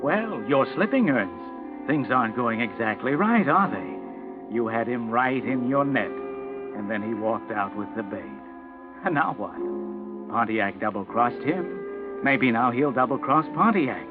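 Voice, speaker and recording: A man's voice: medium pitch, animated, unhurried, close to an everyday voice. An actor playing a taunting, all-knowing narrator of a 1940s radio crime story, addressing the listener as the guilty man in a mocking, ominous way. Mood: urgent, needling. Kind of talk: 1940s radio drama narration, second person. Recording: thin, phone-like old radio recording. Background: music.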